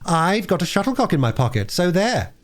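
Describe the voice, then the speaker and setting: A man's voice: sits high, sing-song, moderate pace, voice pushed up. A man voicing an anxious, easily exasperated young girl in a storybook reading.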